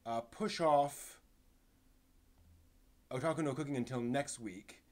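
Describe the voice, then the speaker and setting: A man hosting a casual live stream, speaking in a halting, rambling way and stumbling over his words, sounding somewhat tired. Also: mid-range voice. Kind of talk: casual live stream chat, answering chat questions.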